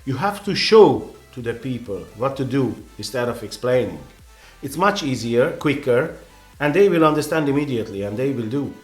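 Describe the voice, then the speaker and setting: A man's voice: mid-range voice, lively intonation, moderate pace, darkish tone, roomy sound, faint music behind. A man with a Hungarian accent, a native Hungarian speaker, giving earnest, rambling photography advice with many restarts and "you know"s in a casual vlog.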